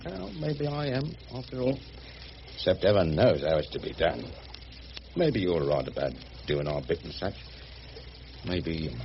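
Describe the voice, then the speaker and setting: A muffled, noisy radio drama recording of a humble riverboat man. His lowish voice rises and dips freely as he talks in a halting, homely, deferential way. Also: very animated, vocal fry, heavily acted. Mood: hesitant, tender. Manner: colloquial, halting, deferential, calling his companion miss. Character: rough, humble, good-hearted working-class riverboat man.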